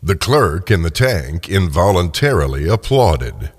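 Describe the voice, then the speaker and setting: A man's voice: low-pitched, sing-song, measured pace, breathy edge. A man narrating a classic story in a dramatic, scripted radio theater style. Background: music.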